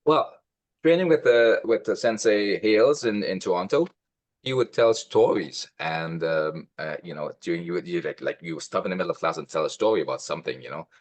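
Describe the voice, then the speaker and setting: A man in his fifties from Trinidad, a karate instructor reminiscing casually in a halting way as an interview guest. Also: low-pitched, lively intonation, no echo. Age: 50s.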